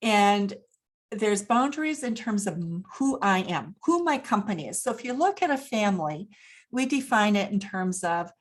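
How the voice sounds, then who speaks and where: Deliberate woman explaining, medium pitch. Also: expressive. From Japan, grew up there. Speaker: interview guest, business expert. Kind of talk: explanatory interview talk.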